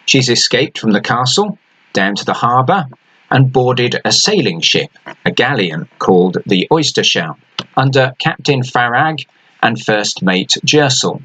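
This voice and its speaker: Lively man with a husky voice. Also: medium pitch, unhurried, poor audio. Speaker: author. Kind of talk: introducing his book and reading aloud.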